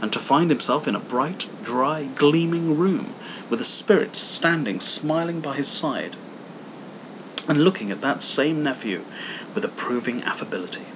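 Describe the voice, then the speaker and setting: Bright-voiced man narrating a classic story. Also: on the high side, lively intonation, moderate pace, a little hiss or hum, rough recording. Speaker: audiobook reader. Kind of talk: expressive scripted literary narration.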